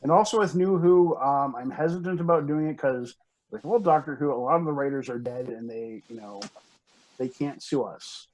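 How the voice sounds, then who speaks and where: Casting: man, mid-pitched, for a casual online panel; rambles, hesitates, then leans hard on words. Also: moderate pace, a little mellow, slight background noise, poor audio. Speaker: panelist, organizer of fan script readings. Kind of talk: casual panel chat on a video call. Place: remote group video call.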